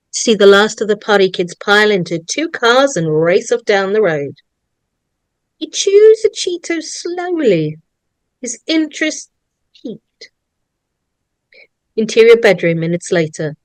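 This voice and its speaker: A woman's voice: mid-range voice, no echo. A woman narrating at a script table read, reading out screenplay stage directions.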